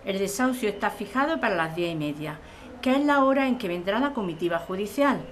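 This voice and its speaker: Woman acting practical supporter, radio drama, average pitch, reassuring, explanatory, faint noise. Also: lively intonation, a little fast, close to an everyday voice. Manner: soothing, explanatory.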